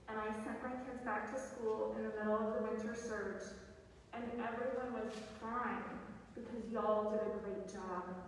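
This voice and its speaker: A middle-aged woman, a pediatrician reading a firm, earnest public comment at a school board meeting. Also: fairly high pitch. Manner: earnest, firm, steady pleading. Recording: echoey hall, distant mic, faint hiss.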